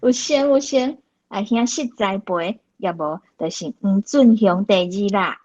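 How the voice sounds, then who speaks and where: A woman playing a grown daughter who reasons gently with her mother in a radio drama, with a Taiwanese accent. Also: medium pitch, measured pace, muffled recording, lightly acted. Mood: chatty.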